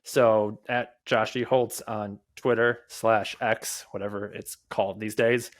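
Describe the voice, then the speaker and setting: A young adult man who stutters, speaking as a podcast guest in casual talk, with frequent fillers, pauses and restarts. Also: fairly low pitch, level tone.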